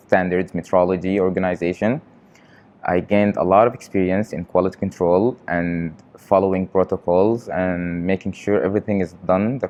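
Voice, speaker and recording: A young man from Qatar, a research trainee, answering interview questions in an earnest, halting way with frequent pauses. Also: deep voice, a little mellow. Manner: earnest, halting, often pausing on fillers.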